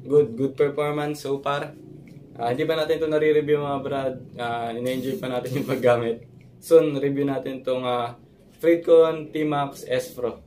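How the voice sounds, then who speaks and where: A man's voice: mid-range voice, darkish tone. A young man giving a casual vlog tutorial, explaining informally with some hesitation. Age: young adult. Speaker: motovlogger and host. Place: small concrete-walled room with computer setup. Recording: noisy room recording.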